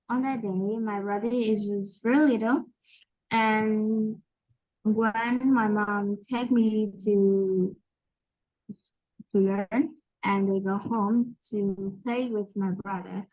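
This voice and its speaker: A young woman with a Vietnamese accent, an English learner speaking in halting sentences and searching for words. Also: mid-range voice, rough recording. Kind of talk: online English lesson conversation.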